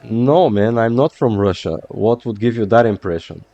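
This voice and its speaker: A man's voice: on the low side, moderate pace, gravelly, mellow, no echo, highs cut off. A man from Bulgaria with a Bulgarian accent, chatting casually while streaming a game. He rambles in a hesitant way, full of fillers.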